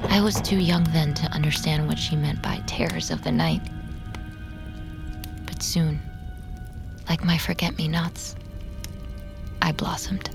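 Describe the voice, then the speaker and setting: An actress playing a reflective, haunted female vampire, narrating an audio drama in a wistful, measured way. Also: low voice, fairly even intonation, vocal fry, loud music behind the voice. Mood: somber.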